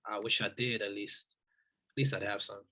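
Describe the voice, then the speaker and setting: A man's voice: on the low side, level tone, fast, sharp tone. A man playing a guarded, weary brother in a drama table read, speaking tersely in casual slang. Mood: weary, wistful. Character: guarded, weary brother, stubborn and self-reliant. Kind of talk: scripted table read acting.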